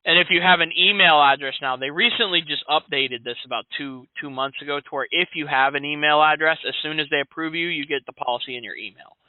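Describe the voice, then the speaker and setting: A man's voice: a little high, fast talker, hardly any pauses, no room sound. A man in his late twenties, an insurance sales agent, chatting casually and in a friendly way on a sales call, with many fillers. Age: 20s. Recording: dry, tinny phone line.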